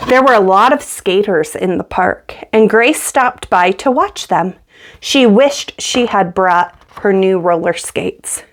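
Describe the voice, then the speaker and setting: A woman's voice: medium pitch, expressive, slow pace. A woman reading a children's picture book aloud as a storyteller, acting out the dialogue as she goes.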